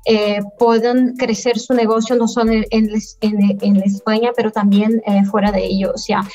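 A woman in her thirties, a guest affiliate manager, answering interview questions in a measured, halting way over a webcam video call. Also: on the high side, little pitch movement, mellow, dull audio. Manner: measured, halting delivery with frequent pauses. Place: indoor room at home, by video call.

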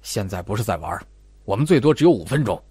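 A cold, scheming policeman from a suspense audiobook, played by a male narrator. He speaks low and hushed, in a terse, matter-of-fact way, close and dry. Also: big pitch swings, fast talker, breathy edge, no echo, heavily acted. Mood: cold, urgent.

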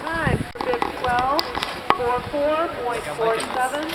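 Woman announcing slowly over loudspeaker. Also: high-pitched. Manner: slow, sing-song announcing. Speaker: race announcer. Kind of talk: public address announcements. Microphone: distant loudspeaker. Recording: loudspeaker from far off, outdoor noise.

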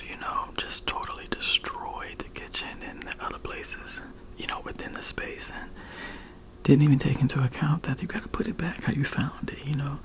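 An American man performs an ASMR roleplay as a friendly rental host. His voice is hushed and often drops into a whisper. He talks casually and a little hesitantly, close to the microphone. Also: fairly high pitch, low-quality recording. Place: small furnished bedroom.

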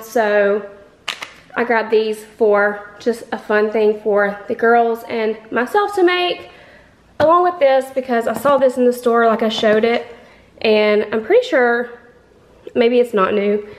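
Chatty woman vlogger. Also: fairly high pitch. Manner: chatty, excited, talks to viewers as y'all. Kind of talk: casual haul vlog chat. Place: home kitchen and store aisles.

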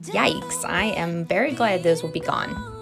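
Lower-pitched American woman narrating over music. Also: expressive, a little slow, creaky. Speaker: home and cleaning vlogger. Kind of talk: friendly scripted voiceover narration. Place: voice-over recorded in a closet at home. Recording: music playing under the voice. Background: background music.

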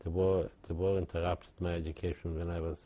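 An older man, giving halting oral history testimony with many restarts. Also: low voice, little pitch movement, dull audio. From Poland. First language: Yiddish. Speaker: interviewee.

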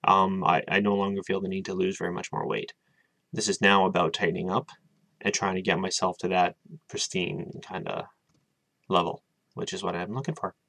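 A man's voice: deep voice, level tone, moderate pace, pushed and bright, dry recording. A young man in his twenties giving a casual, rambling video diary at his webcam, with lots of hesitant ums. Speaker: vlogger. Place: small room with bookshelves, indoors.

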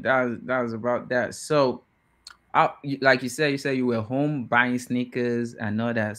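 A young man hosting a podcast over a video call from a small room, asking questions in a relaxed, slangy way. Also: mid-range voice. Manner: relaxed, slangy, asks questions with easy encouragement. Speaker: podcast host. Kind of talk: casual interview. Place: indoors, small room at home.

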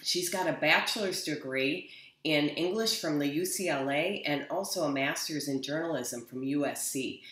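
A woman's voice: sits low, moderate pace, a bit bright. A middle-aged woman hosts an online event and reads out an introduction, with a few fillers. She speaks over a laptop mic from an office. The room adds a noticeable echo. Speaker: event host. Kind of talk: reading an introduction.

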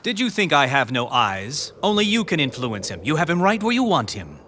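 A scheming middle-aged strategist in a game drama. The voice is bright and fairly high, lilting with lively pitch, smooth-talking and knowing. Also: male voice, slight background noise, exaggerated voice. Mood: insinuating. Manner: insinuating, measured, knowing. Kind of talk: dramatic video game voice acting.

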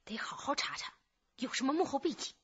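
An actress playing a shrewd, businesslike female detective who reasons deliberately in a radio drama. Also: sits high, expressive, in a whisper, dull audio. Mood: suspicious. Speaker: actor in a police radio drama. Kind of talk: Chinese radio drama acting.

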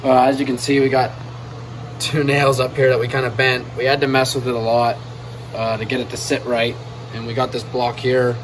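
A man's voice: mid-range voice, little pitch movement, moderate pace, low-quality recording. A young man plainly explaining a woodworking project in a shed, recorded on a handheld phone. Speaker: DIY video co-host. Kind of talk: casual how-to narration. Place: cold wood shop shed. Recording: phone audio with heater hum.

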